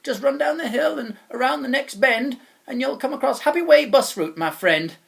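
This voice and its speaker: A man voicing a bossy, boastful, cheery chap from a children's storybook, loud, emphatic and full of confidence. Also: very high-pitched, expressive, moderate pace, vocal fry, voice pushed up, heavily acted. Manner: loud, emphatic, hearty, overconfident.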